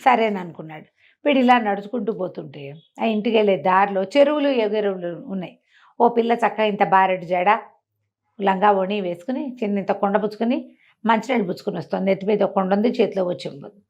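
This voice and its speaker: A woman's voice: medium pitch, brisk, darkish tone, lo-fi sound. A woman telling a folk tale aloud in a conversational way, acting out bits of dialogue for a listener. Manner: conversational, acts out dialogue, checks listener follows. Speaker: storyteller. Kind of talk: animated oral storytelling of a moral folk tale to a listener.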